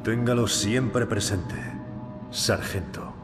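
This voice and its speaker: Slow, low-voiced stern police lieutenant. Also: male voice. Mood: defiant, grim. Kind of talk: video game cutscene acting in Spanish dub. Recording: long reverb, ominous music behind.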